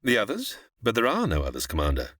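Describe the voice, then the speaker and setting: An actor playing a cultured, guarded middle-aged scientist in an old-time radio sci-fi drama, speaking formally and theatrically. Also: male voice, lowish voice, huge pitch swings, quick pace, a bit bright, no echo, studio quality, exaggerated voice. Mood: grave, haunted.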